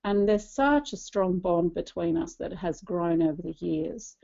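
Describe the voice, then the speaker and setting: Woman, late 40s, soft dark tone, earnest personal talk, slightly muffled recording. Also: fairly low pitch, unhurried, vocal fry. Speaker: church ministry leader speaking as co-presenter.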